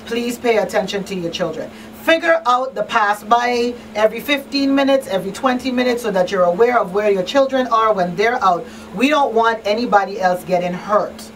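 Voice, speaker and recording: A woman's voice: medium pitch, normal speed. A woman hosting a talk show in a conversational, emphatic and plain-spoken way, repeating key phrases. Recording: distant mic, faint background noise.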